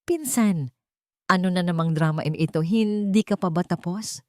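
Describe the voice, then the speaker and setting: A woman's voice: fairly low pitch, moderate pace, dry recording, clean studio sound, lightly acted. A woman voicing a scornful, desperate male villain in an audio drama, indignant and defiant. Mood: annoyed, mocking.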